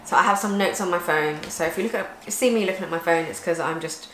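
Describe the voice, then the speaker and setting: Chatty woman, low and echoey. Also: quick pace, fairly bright tone, slight background noise. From England. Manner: chatty, explains at length. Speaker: vlogger co-host. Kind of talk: casual chat. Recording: echoey room with faint ambience.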